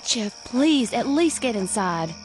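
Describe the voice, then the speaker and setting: Radio drama: young rural Southern woman, earnest sweetheart, somewhat high voice, music underneath. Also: big pitch swings, slow pace. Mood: worried, pleading. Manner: pleading, plain-spoken.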